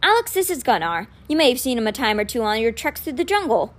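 A woman voicing a kindly, wise old man in an audiobook, patient and measured, with a gentle gravity. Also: fairly high pitch, sing-song. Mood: courteous, earnest. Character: kindly, wise old male magician mentor, gently grave.

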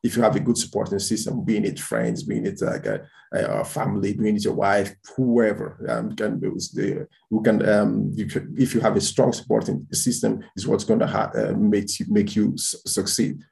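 A man's voice: on the low side, normal speed, gravelly, a little mellow. A man, a guest entrepreneur born in Spain and raised in Portugal, talking in a casual interview with many restarts and repeated words.

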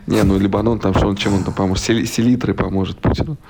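Gravelly-voiced man rambling in blunt chat. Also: fairly low pitch, animated, fairly quick, a little mellow, a little hiss or hum. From Russia. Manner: rambling, full of fillers and restarts; blunt and profane. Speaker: podcast guest. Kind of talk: casual podcast chat.

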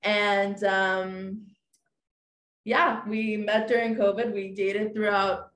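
A woman's voice: mid-range voice, slow, roomy sound. A woman in her late twenties, a podcast guest telling a dating story in casual chat, acting out quoted lines, with lots of fillers. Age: 20s. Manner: animated, acts out quoted dialogue, many fillers.

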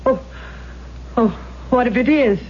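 A woman's voice: on the high side, husky. Guarded, troubled old landlady. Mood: stern, evasive. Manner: halting, stern but anxious. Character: guarded, troubled elderly landlady with a secret past. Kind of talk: 1970s radio drama acting. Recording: dull, noisy vintage radio recording.

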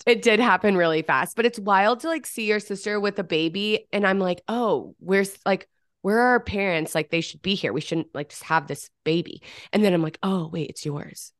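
A woman's voice: mid-range voice, normal speed, no echo, clean studio sound. A young woman co-hosting a podcast in casual chat, slightly congested from a cold, giggly and full of "like". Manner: chatty, giggly, lots of "like". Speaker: podcast co-host.

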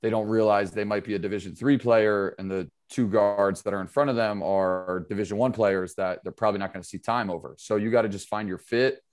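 A man's voice: fairly low pitch, a little fast, hardly any pauses. A man from upstate New York, a basketball trainer, talking loosely and casually as a podcast guest. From upstate New York, USA. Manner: relaxed, loose, filler-heavy talk. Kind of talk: casual interview chat.

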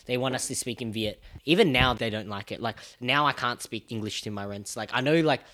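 A young Australian man talks casually at an ordinary mid pitch. He tells his anecdotes in a loose, sweary way, slipping into quoted dialogue as he goes. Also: a little fast. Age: young adult. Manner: animated retelling with acted-out quotes and swearing. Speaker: podcast co-host. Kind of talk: casual chat.

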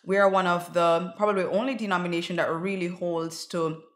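A young woman from Jamaica, a guest in a casual conversational interview, chatty and animated with many fillers. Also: fairly low pitch, brisk, echoey room.

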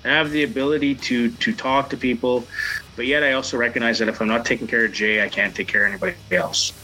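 A man who works as a recovery coach, speaking encouragingly and haltingly to his guest. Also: mid-range voice, faint music behind, low-quality recording. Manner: warm, encouraging, slow and halting. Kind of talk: supportive conversation.

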